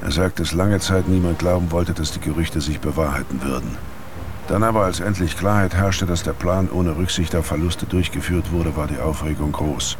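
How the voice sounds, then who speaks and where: An actor playing a terse investigator who dictates a secret report in a clipped, factual radio drama style. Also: male voice, low-pitched, normal speed, background noise, lightly acted. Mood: grave, secretive. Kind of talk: radio drama monologue, report read aloud.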